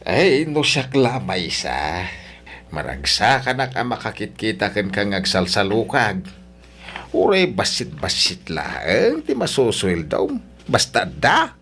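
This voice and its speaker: A man's voice: on the low side, big pitch swings, slow pace, a bit bright, faint music behind, full character voice. A man with an Ilocano Filipino accent playing a kindly, pious old father-in-law in a radio drama, giving fatherly, encouraging counsel. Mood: encouraging, devout. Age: older.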